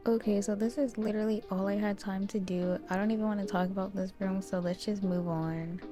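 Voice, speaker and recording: A woman's voice: medium pitch, steady tone, a little fast, warm tone, faint music behind. A young woman giving casual, chatty commentary over a video game as host.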